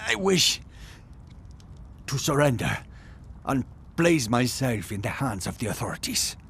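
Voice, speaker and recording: A man's voice: mid-range voice, very animated, measured pace, creaky, a bit bright, a little hiss or hum, exaggerated voice. An actor playing a fanatical, megalomaniac male villain in a video game, speaking in a grandiose, theatrical way. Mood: defeated. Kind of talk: video game cutscene acting.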